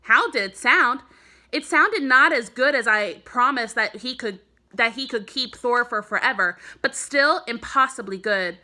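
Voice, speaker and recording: Bright-voiced woman reading a children's story. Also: on the high side, a little slow. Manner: clear storytelling for young children. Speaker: elementary school teacher. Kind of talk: children's storybook read-aloud narration.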